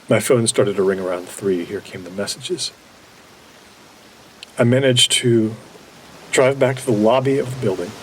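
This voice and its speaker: An actor playing an anguished, burned-out man in his forties, narrating his own story haltingly in an audio drama. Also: lowish voice, a little hiss or hum, natural voice, rough recording. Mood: numb, defeated. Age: 40s. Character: burned-out, anguished middle-aged office man recounting his breakdown.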